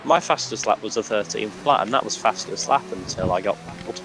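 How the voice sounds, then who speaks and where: A man's voice: on the low side, background noise. A man commentating on an online racing league, chatting and teasing the drivers in casual banter. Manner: teasing, chatty, quick-witted. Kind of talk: casual banter over online race stream. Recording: music playing behind voice.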